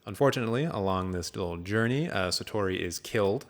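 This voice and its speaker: A man with a fairly low voice talks casually as a podcast host. He rambles through his storytelling with a joking, improvised feel, as if recapping something for friends. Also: animated, quick pace. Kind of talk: casual film recap chat.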